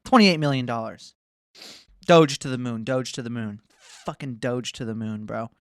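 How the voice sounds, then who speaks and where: A man's voice: mid-range voice, normal speed, clear, resonant tone. A man in his twenties ranting casually to camera, swearing often and wandering between mocking asides. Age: 20s. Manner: profane, rambling, swears often. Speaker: vlogger.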